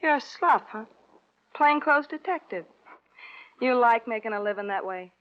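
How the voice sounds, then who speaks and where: A woman's voice: fairly high pitch, expressive, moderate pace, lightly acted. An actress in an old radio crime drama playing a wary, sardonic young woman with a tough, challenging manner. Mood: guarded, sardonic. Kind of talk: 1940s-50s radio crime drama acting. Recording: muffled old radio recording.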